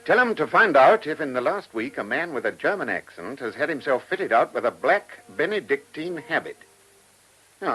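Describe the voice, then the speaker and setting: Gruff male British detective chief, radio drama; musing, colloquial, raspy, with a lively melodic swing. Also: mid-range voice, exaggerated voice, low-quality recording. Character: shrewd, gruff male police chief inspector. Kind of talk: British radio play acting. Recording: old radio drama recording.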